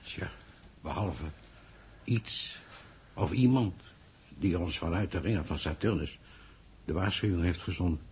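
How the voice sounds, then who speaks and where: A man's voice: sits low, a little slow, long pauses, a little mellow, slight background noise. An actor playing a troubled male official in a 1960s Dutch radio drama, hesitant and pensive as he questions experts.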